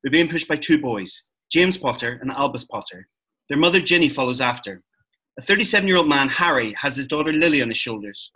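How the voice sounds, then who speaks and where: A man with a husky voice reading stage directions as a narrator over a video call. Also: mid-range voice, expressive, brisk, pushed and bright, rough recording.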